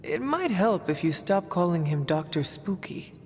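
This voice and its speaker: A performer voicing a calm, earnest resurrected warrior in a video game, with formal, measured, old-fashioned speech. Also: mid-range voice, faint music behind, close to an everyday voice. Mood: cautioning. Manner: formal, measured, avoids contractions.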